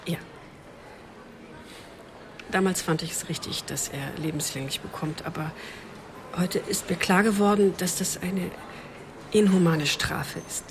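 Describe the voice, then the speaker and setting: An actress playing a polite, earnest charity volunteer who pleads gently in a radio drama. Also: female voice, fairly low pitch, a little slow, pauses often, background noise.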